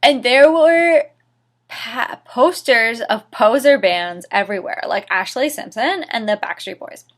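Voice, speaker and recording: A woman's voice: on the high side, lively intonation, a little slow. A woman reading fan fiction dramatically as host, with a sarcastic, theatrical manner and mocking asides. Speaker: host and reader of a series.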